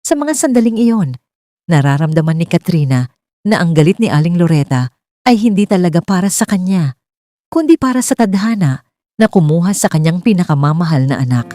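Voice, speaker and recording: A woman reads a dramatic story as narrator. Her voice is lowish and mellow, with very varied intonation. The recording is dry and close. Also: big pitch swings, a little fast, dry recording.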